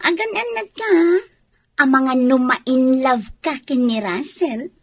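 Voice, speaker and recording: A woman acting a teasing, nosy young best friend in an animated radio drama style. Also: high-pitched, slow, heavily acted. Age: young adult.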